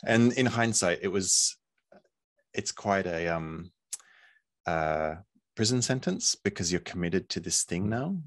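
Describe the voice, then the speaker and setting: A man in his forties from Poland, talking over a video call in a hesitant, thoughtful way with many pauses. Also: deep voice, lively intonation, no echo. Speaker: artist. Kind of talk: casual interview. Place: home room, video call.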